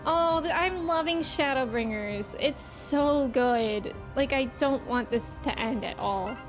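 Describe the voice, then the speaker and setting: A woman with a high voice streams a video game live, with game music playing behind her. She chats informally with viewers and reacts expressively to what happens on screen. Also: slow pace, low-quality recording.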